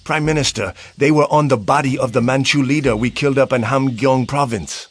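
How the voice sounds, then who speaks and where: An actor playing a loyal, sober Korean army general who speaks respectfully and formally in a video game cutscene. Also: male voice, mid-range voice, fairly quick, breathy edge, close to an everyday voice. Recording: game audio with music underneath.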